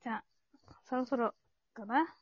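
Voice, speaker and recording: A woman plays a coy woman out on a date in an amateur romantic radio drama. She speaks in a fairly high voice, hesitant and noncommittal. The sound is close and dry but slightly dull. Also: moderate pace, breathy edge, dry recording.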